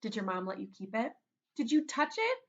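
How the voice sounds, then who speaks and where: A woman voicing excited, curious young classmates with eager exclamations, in a storybook read-aloud. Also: on the high side, brisk, lo-fi sound. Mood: amazed, curious. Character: excited, curious first-grade classmates firing questions.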